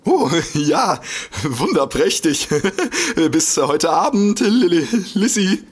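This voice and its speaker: An actor playing an excitable, smitten, bumbling detective who stammers and gushes in a comedic audio drama. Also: male voice, sits high, slow pace, slight background noise, full character voice. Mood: giddy delight. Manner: stammering, gushing, giggly, easily flustered.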